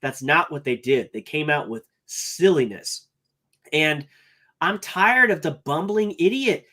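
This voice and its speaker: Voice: medium pitch, expressive, measured pace, sharp tone. A podcast co-host with a mid-range voice talks through opinions in casual chat, earnestly thinking aloud and stacking up points one after another. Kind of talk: casual movie review chat.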